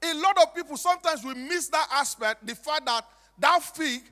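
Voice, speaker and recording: High-pitched Nigerian man addressing an echoing hall. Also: normal speed, sharp tone. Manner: emphatic, repetitive, with rhetorical questions. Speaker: stage speaker and comic storyteller. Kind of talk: animated preaching-style storytelling to an audience. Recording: echoey hall with long reverb.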